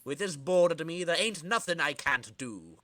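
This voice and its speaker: A man acts out a cocky skateboarding comic-book villain out for revenge. The voice is bright and animated, full of slangy swagger, and recorded dry. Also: a little high, normal speed, no room sound. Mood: triumphant. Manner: swaggering, slangy tough talk, dramatic reading.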